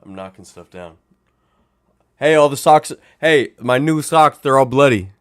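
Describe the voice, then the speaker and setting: Man, raspy voice, playful livestream host, lively pitch, dry sound. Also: measured pace, dry recording. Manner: animated, playful, talks to chat viewers. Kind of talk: casual livestream chat. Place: home.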